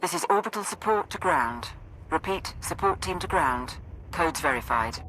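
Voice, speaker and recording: An actress playing a guarded military support operator in a video game, brisk and businesslike. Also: female voice, low voice, animated, fairly bright tone, a little hiss or hum, low-quality recording. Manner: clipped, businesslike. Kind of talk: video game cutscene acting.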